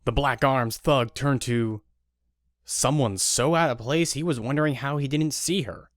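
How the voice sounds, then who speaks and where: A man's voice: medium pitch, measured pace, no room sound, studio quality. A man reading scripted narration for an audio drama. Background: guitar music.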